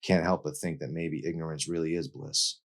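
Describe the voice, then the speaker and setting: A man's voice: very deep voice, fairly even intonation, moderate pace, gravelly. A man voicing a guilt-ridden, earnest, defensive teenage boy in an audiobook. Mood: heavy, confessional.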